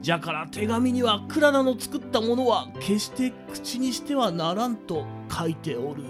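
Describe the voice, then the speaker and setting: A performer playing a kindly old man in an amateur radio drama, with archaic elderly phrasing and a deliberate, explanatory manner. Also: mid-range voice, animated, music in the background, close to an everyday voice. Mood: grave, explanatory.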